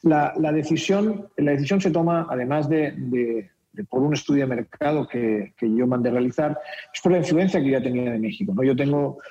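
A man's voice: medium pitch, brisk, mellow, dull audio. A man from Spain with a Castilian accent slightly mixed with Mexican, explaining his business as a radio interview guest. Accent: Castilian Spanish with a slight Mexican mix. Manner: explanatory, frequent repetitions and tag questions. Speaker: company director, interview guest.

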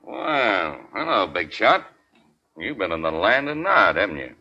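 An actor playing a mocking, needling police detective in a 1940s radio crime drama, sarcastic and taunting. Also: male voice, lowish voice, big pitch swings, unhurried, background noise. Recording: dull 1940s radio recording.

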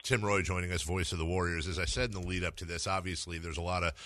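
Male sports talk host, low and forceful, wordy interviewer, dry close studio sound. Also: level tone, quick pace, no echo.

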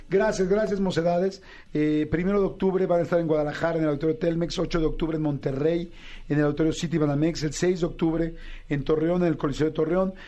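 A man from Mexico with a Mexican accent, hosting a radio interview with eager, curious questions and quick rundowns. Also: on the high side, warm tone. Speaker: radio host.

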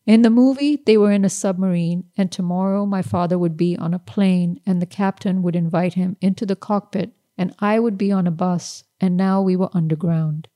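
A woman reads her own short story aloud, measured and unhurried. Her voice is low and mellow, with a soft, rounded tone. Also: fairly even intonation. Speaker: author reading her story. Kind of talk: literary reading aloud.

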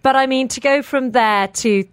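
Lady radio host, playful and upbeat, highish voice, dry studio mic. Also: moderate pace, clean tone, dry recording. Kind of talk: studio interview.

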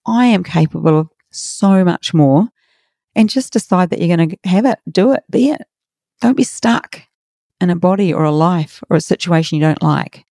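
A middle-aged woman with a raspy, hoarse voice, hosting a motivational podcast in an earnest, encouraging way. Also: on the low side, lively intonation, normal speed, warm tone, dry recording, studio quality. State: hoarse from a workshop. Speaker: podcast host and coach.

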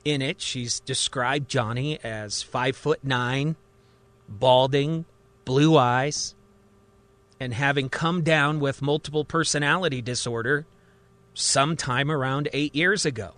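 A man's voice: medium pitch, unhurried, fairly bright tone. A man narrating a dramatic story as a podcast host, in a conversational, emphatic way.

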